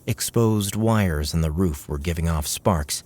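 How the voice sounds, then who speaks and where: Deep-voiced man narrating a science fiction audiobook. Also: a little slow, a little mellow.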